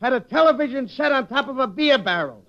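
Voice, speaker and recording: Very high-voiced blustering Brooklyn judge. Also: male voice, lively intonation, fast talker, vocal fry, exaggerated voice. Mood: bullying. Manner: loud, pompous, mangled grammar. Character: blustering, bullying, uneducated Brooklyn judge, comic and biased. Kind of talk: 1940s radio comedy acting. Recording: muffled, very noisy old radio recording.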